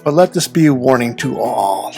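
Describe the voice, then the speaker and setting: A performer voicing a suave, ominous devil in a folktale audio drama, with formal, old-fashioned, measured diction. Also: medium pitch, lively intonation, dark tone, faint music behind, natural voice. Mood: gloating, ominous.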